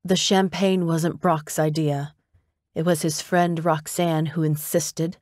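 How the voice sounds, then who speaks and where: A woman's voice: low-pitched, flat delivery, slow, darkish tone, no echo, clean studio sound. Woman playing a prim, disapproving rich widow.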